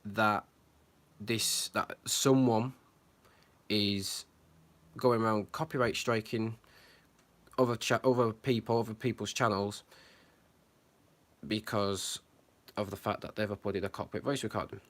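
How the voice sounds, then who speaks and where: A man's voice: on the low side, steady tone, measured pace, long pauses, a bit bright. A man hosting his own channel, giving unscripted, earnest commentary full of fillers, restarts and hesitations. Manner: earnest, concerned. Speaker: channel host.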